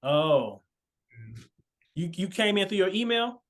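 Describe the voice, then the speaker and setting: A man's voice: high-pitched, lively intonation, takes it slowly. A man hosting a marriage podcast over a video call, speaking earnestly with many fillers and restarts. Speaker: podcast host. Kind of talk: casual video-call chat.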